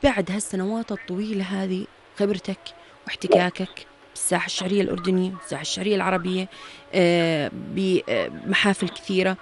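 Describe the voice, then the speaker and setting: Gravelly Jordanian woman hosting a radio interview. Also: lowish voice, soft background music. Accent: Jordanian Arabic. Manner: drawn-out filler sounds between phrases, affirming the guest. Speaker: radio host.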